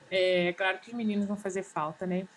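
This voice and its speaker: A woman with a mid-range voice conducts a friendly interview, speaking respectfully and checking in with little confirming fillers. Steady background noise runs under her voice. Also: medium pitch. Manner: chatty and respectful, often tags on confirming fillers. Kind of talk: casual interview chat.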